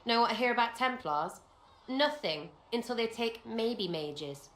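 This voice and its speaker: A woman's voice: a little high, lively intonation, a little slow, fairly bright tone, reverberant. An actress playing a blunt, playful young female elf rogue in a game cutscene, with a mocking, irreverent way of talking. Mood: mocking, cheeky.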